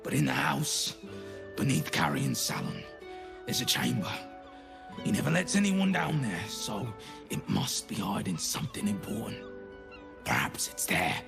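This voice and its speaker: Gravelly, frightened undead servant. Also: male voice, medium pitch, huge pitch swings, over music, heavily acted. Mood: anxious warning. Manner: halting, anxious, emotional delivery. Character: frightened, bitter undead zombie servant man, pleading for life. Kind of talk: video game dramatic acting.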